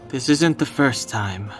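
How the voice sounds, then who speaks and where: Terse, mid-pitched serious young man. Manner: terse, matter-of-fact. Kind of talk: video game voice acting. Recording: music clearly behind the voice. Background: game music.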